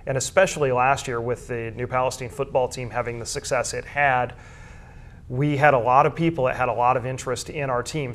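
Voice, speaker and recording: A middle-aged man with an American accent, giving earnest, thorough explanations as an interview guest. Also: mid-range voice. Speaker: guest, school sports information director and journalism teacher.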